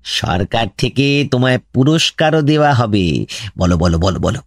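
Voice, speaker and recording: An actor playing a pushy, impatient investigator in a comic radio drama, questioning insistently and self-importantly with a West Bengal accent. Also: male voice, mid-range voice, big pitch swings, normal speed, no room sound, clean studio sound. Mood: coaxing. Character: pushy, impatient male investigator grilling a villager. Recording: music behind the voice.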